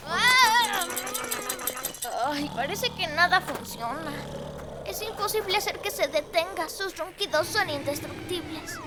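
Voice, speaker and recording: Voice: very high-pitched, expressive, measured pace, very noisy. An actor voicing a confident, resourceful little boy rabbit who plays superhero in a children's cartoon, exclaiming and self-assured. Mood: determined. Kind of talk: cartoon voice acting. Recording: loud music bed behind.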